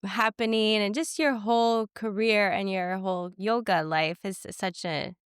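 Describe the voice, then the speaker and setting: Enthusiastic woman podcast host, slightly hoarse. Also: medium pitch, fairly even intonation, a little slow, no echo, clean studio sound. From Canada. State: hoarse from a cold. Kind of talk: podcast interview. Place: home in Sweden.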